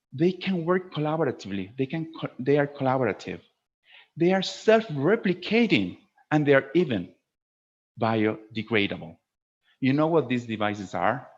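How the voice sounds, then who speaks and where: A man's voice: medium pitch, highs cut off. A man teaching a webinar to fellow teachers in a didactic way, often checking in with a short questioning tag at the ends of phrases.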